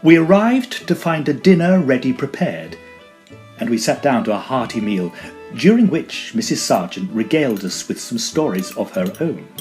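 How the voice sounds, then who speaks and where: A man's voice: mid-range voice, normal speed, soft background music, natural voice. A man playing a genteel Edwardian ghost hunter in an audio drama, telling his tale formally and expressively. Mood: genial, composed. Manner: formal, expressive, old-fashioned phrasing. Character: genteel Edwardian gentleman ghost hunter, sociable, easily shaken. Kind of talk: scripted first-person storytelling, period audio drama.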